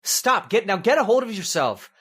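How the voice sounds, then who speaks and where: A woman's voice: mid-range voice, big pitch swings, normal speed, slightly rough. A woman playing a worried, agitated husband in an old-time radio horror play, urgent and earnest with stammers. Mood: insistent.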